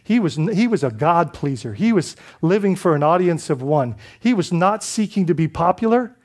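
High-voiced preaching man from the Bahamas. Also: animated, normal speed, a little mellow. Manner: conversational preaching with frequent restarts and rhetorical "right?". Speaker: pastor. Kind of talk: sermon.

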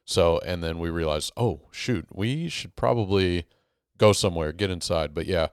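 A man's voice: low voice, moderate pace, smooth, dry recording, clean studio sound. A man from Texas hosting an interview, asking easygoing, rambling questions. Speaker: podcast host. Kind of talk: casual interview conversation.

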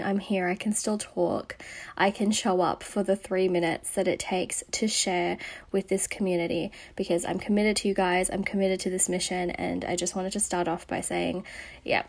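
A hoarse woman losing her voice, hosting a podcast with an earnest, encouraging motivational monologue that slips into a breathy whisper. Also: medium pitch, a little mellow. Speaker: podcast host.

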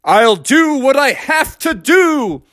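Cocky young male frog skateboarder, put on by a storyteller, boastful and dramatic, very animated, close dry sound. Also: mid-range voice, moderate pace, no room sound, voice pushed up. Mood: determined.

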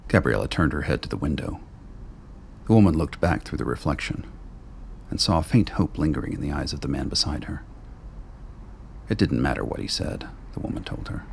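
A man narrating his radio play in a steady, even storytelling voice. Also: rumbling low, a little fast, gravelly, pauses often, a little hiss or hum. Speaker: writer and narrator.

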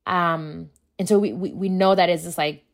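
A woman's voice: fairly low pitch, steady tone, moderate pace, gravelly. A woman, a native Akan speaker, talking casually in an interview as a school founder and acting out dialogue as she tells her story.

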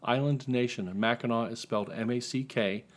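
A man's voice: medium pitch, brisk. A man chatting casually as an author guest in an interview, telling his story in a wry, dry way.

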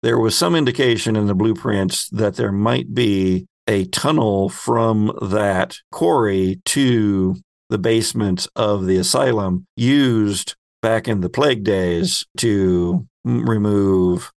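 A man runs a tabletop roleplaying game in a fairly low voice, at a slow, deliberate pace. He paints each scene as he goes, often pausing mid-sentence. The recording is close and dry. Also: creaky, no room sound. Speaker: game master and host. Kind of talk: improvised tabletop game narration.

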